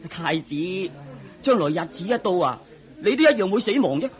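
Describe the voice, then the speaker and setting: Loyal cantonese servant driving the prince's chariot, respectful, explains stuff plainly, radio drama. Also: male voice, high-pitched, sing-song, normal speed. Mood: frank. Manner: deferential, explanatory. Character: prince's loyal, matter-of-fact chariot driver.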